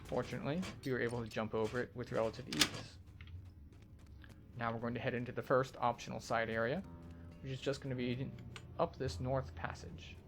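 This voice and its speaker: A man with a mid-pitched voice talks over clear background music. He gives explanatory, matter-of-fact game walkthrough commentary as a tour guide. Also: little pitch movement, fairly quick.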